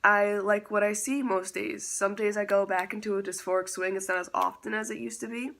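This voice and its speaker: A man's voice: sits high, level tone, fairly quick, creaky, pushed and bright. Chatty young man with a stuffy nose. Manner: chatty, self-teasing, laughs often. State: stuffy nose, slightly sick. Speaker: vlogger. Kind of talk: casual chatty update.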